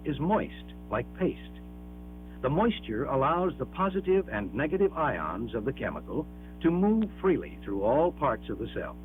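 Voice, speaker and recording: A man reads a scripted educational film narration in a measured, emphatic, explanatory manner, on an old 1960s film soundtrack. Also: mid-range voice. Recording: dull band-limited 1960s 16mm film soundtrack.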